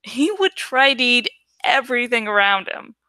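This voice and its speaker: A woman with a crisp, bright voice reads a story aloud as a livestream host. Her pitch sits on the high side, and her delivery is expressive and unhurried. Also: no echo. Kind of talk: dramatic audiobook-style reading with casual asides.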